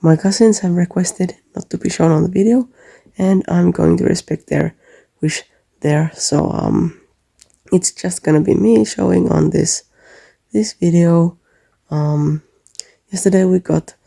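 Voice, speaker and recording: Young man, slightly high voice, gravelly fry, hesitant casual vlog talk. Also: dark tone, no room sound, studio quality. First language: Finnish. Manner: hesitant, many ums and uhs. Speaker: convention artist vlogger.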